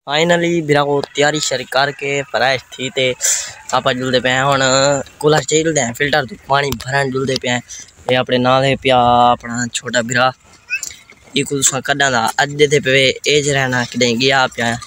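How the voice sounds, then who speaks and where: A young man with a Saraiki accent gives informal, repetitive vlog commentary at a medium pitch. He talks into a phone held at arm's length outdoors, with clear noise around him. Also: steady tone, normal speed, sharp tone. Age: teens to young adult. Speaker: vlogger, host. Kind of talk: casual village vlog chat. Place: outdoors in village lanes, fields and walled yard. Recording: noisy handheld phone recording.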